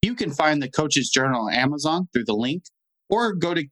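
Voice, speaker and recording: A man hosts a podcast with a bright, forward voice. His pitch runs a little higher than most men's, and he speaks as a presenter to his audience. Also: sing-song, moderate pace, poor audio. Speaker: podcast host. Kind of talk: conversational podcast hosting.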